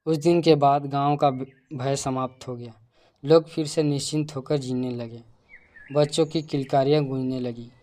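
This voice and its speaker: A man's voice: mid-range voice, fairly even intonation, normal speed, warm tone. A man narrating a scripted cartoon folk tale as storyteller.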